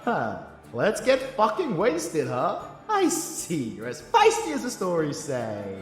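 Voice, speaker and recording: A man's voice: sits high, huge pitch swings, slow, pitched above the performer's own voice, heavily acted. A man voicing a flamboyant, gushing, easily offended gala host with an American accent, in a comedy audio roleplay. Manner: gushing, melodramatic. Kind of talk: showy award-show announcing. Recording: echoey hall reverb, music behind.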